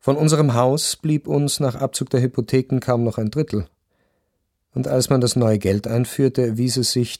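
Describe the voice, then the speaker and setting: An actor playing a reflective narrator who recalls his family's decline, in formal, measured literary narration for radio. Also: lowish voice, a little mellow, no echo, clean studio sound, natural voice.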